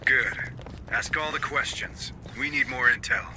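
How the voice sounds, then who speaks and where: Sharp, forceful marine leader. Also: male voice, mid-range voice, a little slow, a little hiss or hum, poor audio. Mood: stern. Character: stern male space marine leader giving orders.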